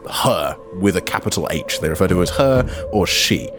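Low-pitched man running a tabletop game. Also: animated, normal speed, noisy background. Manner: wry, joking asides amid descriptive narration. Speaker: game master.

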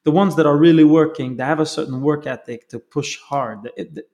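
A man's voice: fairly high pitch, brisk, mellow. A man hosting an interview, talking in an earnest, reflective way and often searching for his words. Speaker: host. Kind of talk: casual conversation.

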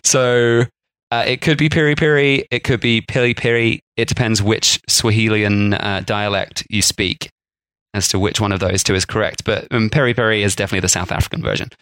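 A man co-hosting a casual food history podcast, chatty and quick to laugh at himself. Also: lowish voice, fairly even intonation, fairly bright tone, clean tone, no echo, poor audio. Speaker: podcast co-host.

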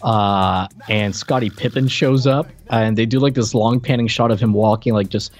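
Man chatting casually, halting and rambling. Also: lowish voice, fairly even intonation. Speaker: podcast co-host reviewing retro video games. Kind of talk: casual unscripted chat.

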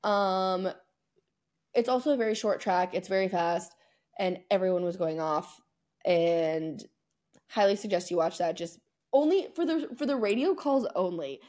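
A woman's voice: mid-range voice, moderate pace, darkish tone. A woman co-hosting a podcast in casual sports chat, speaking in an emphatic, opinionated way full of hyperbole. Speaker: podcast co-host.

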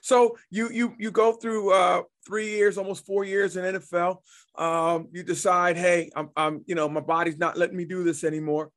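A man's voice: sits high, flat delivery, moderate pace, fairly bright tone. A man hosting an interview, chatty and encouraging, often repeating words as he builds up his questions. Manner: chatty, many restarts and affirmations. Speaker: interview host. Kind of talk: casual interview talk.

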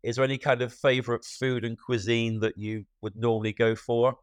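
A man's voice: mid-range voice, flat delivery, a little fast, clean tone, no echo, clean studio sound. A man hosting a podcast interview, playfully setting up imagined scenarios for his guest. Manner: playful, sets up imaginative questions.

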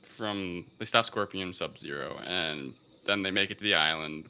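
A man co-hosting a casual film review podcast, speaking in a hesitant, halting way as he searches for words. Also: low-pitched, sharp tone, clear, resonant tone. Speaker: podcast co-host.

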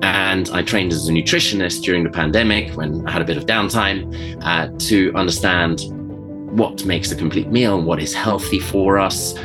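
Deep-voiced middle-aged man with British accent. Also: normal speed, over music. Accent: British English. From Switzerland. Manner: reflective, relaxed, with frequent fillers and restarts. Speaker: chef and podcast guest. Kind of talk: conversational interview.

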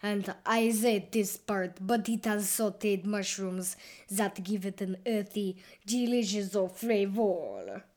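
A woman's voice: medium pitch, expressive, slow, a little mellow. Woman doing an Italian-accented little mushroom waiter. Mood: courteous. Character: short round mushroom waiter, polite and eager.

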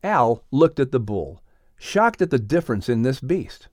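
Male storyteller for children's audiobook: mid-range voice, very animated delivery, dry recording. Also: mid-range voice, a little slow, dry recording. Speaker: audiobook narrator. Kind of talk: expressive scripted children's story narration.

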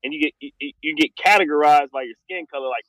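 A man's voice: on the high side, fast talker, a bit bright, no echo, low-quality recording. A man talking loosely in a casual podcast discussion, rambling and filling with "like" and "you know what I mean".

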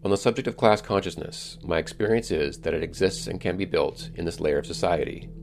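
A man hosting a podcast, reading scripted solo narration in a measured, explanatory way. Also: sits low, a little mellow, a little hiss or hum. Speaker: podcast host.

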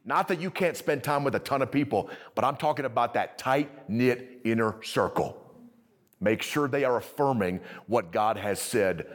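A man preaching to a live congregation, energetic and conversational, often checking in with the audience. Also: mid-range voice, moderate pace. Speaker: pastor. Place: church service with audience.

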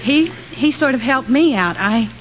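A woman's voice: sits high, expressive, moderate pace. An American woman from Pennsylvania, a quiz contestant giving plain answers to the host. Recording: noisy, muffled old radio, audience.

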